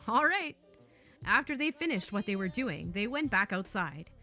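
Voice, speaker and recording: A woman's voice: mid-range voice, very animated, moderate pace, bright, forceful tone, soft background music. A woman reading online stories aloud with playful, chatty asides between them. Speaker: story narrator.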